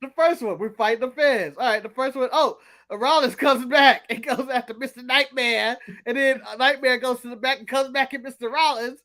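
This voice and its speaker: Animated American man chatting on a show. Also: very high voice, moderate pace. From United States. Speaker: podcast co-host. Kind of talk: casual chat.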